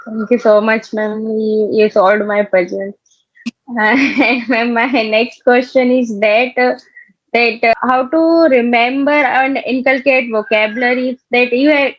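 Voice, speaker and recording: A woman's voice: on the high side, dry recording. A young woman with an Indian accent, a native Hindi speaker, politely asking an English teacher questions with many hesitations. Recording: dull lo-fi dry audio.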